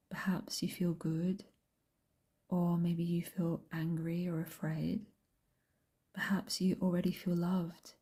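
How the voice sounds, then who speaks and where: A woman guiding a meditation in a soft, breathy whisper, speaking gently and unhurriedly with long pauses. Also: lowish voice. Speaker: meditation guide and host. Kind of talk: slow guided meditation, soft whispered speech.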